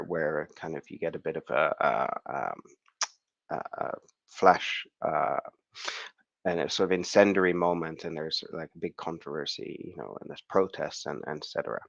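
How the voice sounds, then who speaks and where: Croaky low-voiced man on a call. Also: a bit bright, no echo, highs cut off. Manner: hesitant, many fillers and restarts. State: croaky from the flu. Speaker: conference speaker. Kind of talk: academic online talk. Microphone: video call.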